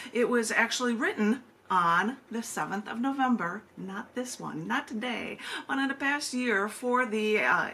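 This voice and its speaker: A woman's voice: mid-range voice, sharp tone. A woman hosting an open mic and poetry reading over a video call, chatting casually with rambling asides. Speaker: open mic host and poet. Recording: noisy video call audio.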